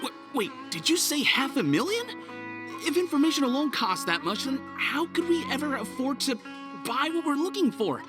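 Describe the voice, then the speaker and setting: An anxious young student in a video game. His pitch moves in a lively way as he questions and frets, with music clearly behind. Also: normal speed. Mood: incredulous, discouraged. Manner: questioning, fretful. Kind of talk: video game cutscene acting.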